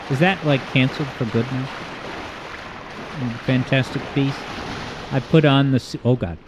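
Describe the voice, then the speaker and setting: Man, game streamer, smooth voice, rambling and joking, reading chat, home mic with boosted EQ, steady background hiss. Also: medium pitch. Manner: rambling, joking, self-deprecating. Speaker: livestream host. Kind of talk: casual chat, reading viewer comments while gaming.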